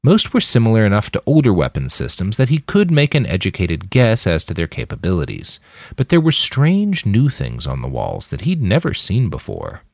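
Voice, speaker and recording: A man's voice: lowish voice, huge pitch swings, normal speed, gravelly, dry recording, clean studio sound. A man reading scripted fiction as an audiobook-style narrator. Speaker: author reading his own fiction podcast.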